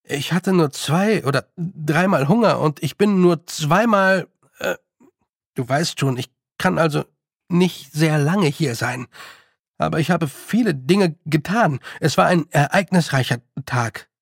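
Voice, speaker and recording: Audiobook role: man playing a young boy, lordly yet unsure, stammering, raised pitch, husky edge, dry sound. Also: measured pace, no room sound. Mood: uneasy. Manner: hesitant, stammering, slightly haughty. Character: young boy, lordly but naive and nervous. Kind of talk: audiobook character voice.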